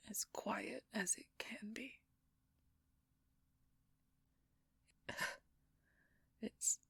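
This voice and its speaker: A woman playing a wounded, protective but gruff female werewolf knight in an intimate audio roleplay, husky and halting, often dropping into a whisper. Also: medium pitch, dry recording, exaggerated voice. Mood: weary, haunted. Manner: halting, strained delivery with sighs.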